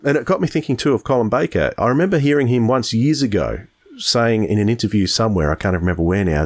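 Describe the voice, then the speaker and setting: A man with an Australian accent and a fairly low voice. He talks casually, as a podcast host does in discussion with a co-host.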